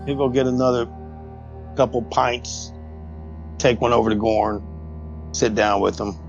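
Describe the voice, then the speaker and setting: A man's voice: medium pitch, steady tone, a little slow, vocal fry. A man playing a tabletop role-playing game, talking casually and improvising, on a dull lo-fi recording with music behind.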